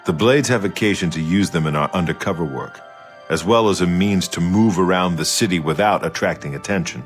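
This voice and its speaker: A man plays a seasoned undercover warrior in a fantasy game. His voice is very low and gruff, delivered with firm resolve over faint music. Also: fairly quick, lightly acted. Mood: determined. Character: gruff, resolute male imperial secret agent, seasoned warrior. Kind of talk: video game dialogue acting.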